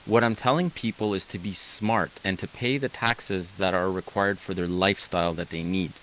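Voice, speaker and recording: Guy hosting a radio advice show, punchy and energetic, low voice. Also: moderate pace, a little hiss or hum, rough recording. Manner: energetic, emphatic, checks in with "okay?". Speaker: radio host, mortgage broker.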